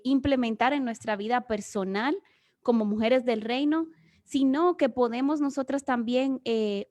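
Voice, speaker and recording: A woman from the Dominican Republic with a Dominican accent, giving an earnest, explanatory Bible teaching as guest speaker. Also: on the high side. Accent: Dominican Spanish. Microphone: remote video session.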